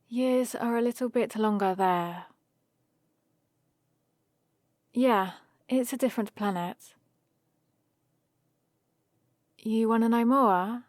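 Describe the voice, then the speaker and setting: Unhurried young hero woman, acted by a woman, teasing. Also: a little high, darkish tone, no echo, studio quality. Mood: wistful, relaxed. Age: young adult. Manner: teasing, unhurried, intimate one-sided conversation. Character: young human hero woman from Earth, playful, fond, teasing. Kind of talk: ASMR roleplay acting, addressed to the listener. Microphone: close studio-style microphone, dry.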